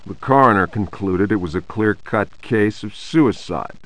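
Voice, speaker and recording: An actor playing a wry, hard-boiled private investigator, narrating a video game monologue in a measured, sardonic way. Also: male voice, lowish voice, expressive, fairly bright tone. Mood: matter-of-fact.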